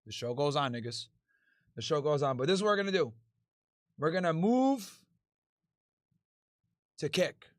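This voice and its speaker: Casual male streamer, slightly high voice, relaxed and crude, dry close mic. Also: normal speed, pauses often, dry recording. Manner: laid-back, crude slang, tired, joking. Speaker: livestream host. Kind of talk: casual livestream chat reading super chats.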